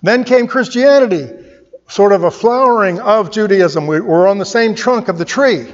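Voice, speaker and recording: A man's voice: high voice, normal speed, big-room sound, lo-fi sound. A male pastor preaching a sermon, emphatic and repetitive, firing off rhetorical questions. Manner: emphatic, rhetorical questions, repeats key phrases.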